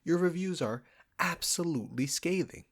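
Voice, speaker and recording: A man's voice: a little high, animated, moderate pace. A man playing a proud, defensive, condescending restaurant chef in a scripted roleplay spoken straight to the listener. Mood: angry, defensive. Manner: condescending, combative, sarcastic, swearing.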